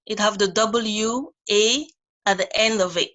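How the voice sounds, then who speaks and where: A woman from Guyana with a Dutch-tinged English accent, asking a question on a call with many pauses and restarts. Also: mid-range voice, fairly bright tone. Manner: hesitant, restarts with "um".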